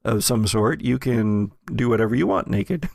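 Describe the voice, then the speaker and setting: A man's voice: medium pitch, expressive, normal speed, vocal fry, darkish tone, no room sound. An American man hosting casual banter among friends with dry, joking understatement. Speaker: podcast host.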